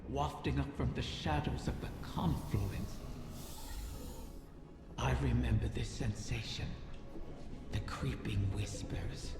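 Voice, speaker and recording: A performer voicing an ancient, sly dragon in a video game, slow, deliberate and menacing, speaking with formal, taunting pride. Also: mid-range voice. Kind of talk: dramatic video game villain acting. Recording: cavernous reverberant echo over music.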